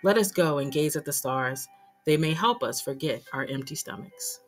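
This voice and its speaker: A woman voicing a kindly, devout father who gently quotes proverbs in a storybook. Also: low voice, normal speed, soft background music, voice pushed down, natural voice. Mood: gentle, reassuring.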